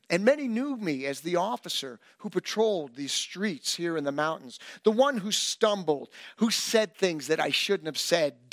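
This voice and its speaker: Animated high-voiced male pastor preaching. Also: no echo. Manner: animated and emphatic, with self-deprecating humor. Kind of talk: sermon.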